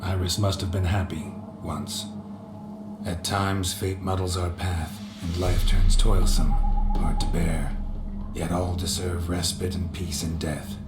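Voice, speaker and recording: An actor playing a gruff, weary monster hunter in a video game, speaking in terse, dry, low gravelly tones. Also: male voice, level tone, takes it slowly. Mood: solemn. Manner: terse, dry, clipped delivery. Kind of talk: video game character dialogue. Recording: roomy reverb, loud game music behind.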